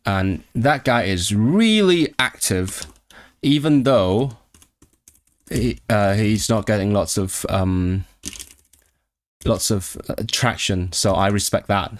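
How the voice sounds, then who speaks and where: A man's voice: on the low side, lively intonation, takes it slowly. Chatty man on a stream. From Hong Kong. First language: Cantonese. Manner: thinking aloud, many restarts and rising questions to chat. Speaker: language-learning streamer. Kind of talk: casual live-stream chat reading viewer comments.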